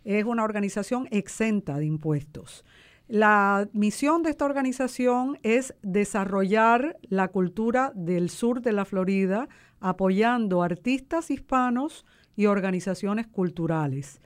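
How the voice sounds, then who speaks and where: A woman's voice: medium pitch, unhurried. A woman who founded an arts organization, speaking as an interview guest and explaining things. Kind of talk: promotional interview talk, explanatory.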